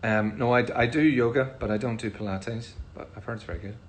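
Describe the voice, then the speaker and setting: A middle-aged man with a Northern Irish accent, casually answering fan questions in a relaxed, chatty way with frequent ums. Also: medium pitch, quick pace. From Northern Ireland. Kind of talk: casual livestream Q&A.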